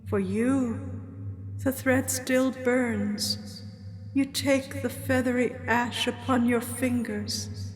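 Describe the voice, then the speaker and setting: An actress playing a sorrowful dead woman returned as a prophetic spirit, speaking solemn verse in a slow, grave incantation in a radio drama. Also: a little high, steady tone. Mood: sorrowful, weary. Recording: long cavernous reverb with music.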